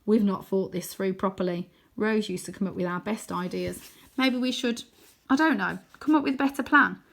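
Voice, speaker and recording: A woman's voice: mid-range voice, moderate pace, creaky, fairly bright tone. A woman voicing an anxious, cautious boy adventurer in a storybook, speaking in a hesitant, earnest way. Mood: doubtful.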